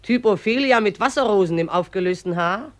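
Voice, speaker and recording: An actress in a radio play voicing the river itself as a gruff, mocking old woman who scolds in an earthy, derisive way. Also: mid-range voice, lively intonation, brisk, no room sound, lightly acted. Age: older.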